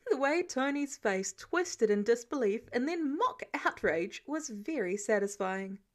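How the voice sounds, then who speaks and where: A woman's voice: on the high side, expressive, measured pace. A woman narrating fiction as a scripted audiobook reading.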